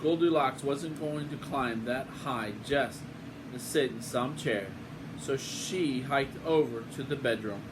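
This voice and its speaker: Slow-paced older man reading a storybook, noisy recording. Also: mid-range voice. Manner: unhurried, playful, casual. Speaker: grandfather reading a picture book aloud. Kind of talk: storybook read-aloud with folksy asides. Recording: home recording with steady room hum.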